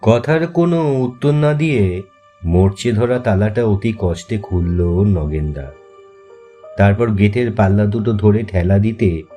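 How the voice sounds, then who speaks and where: A man narrating a scripted ghost story as host, in a measured, deliberate way with suspenseful pauses. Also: fairly low pitch, dark tone. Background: faint music.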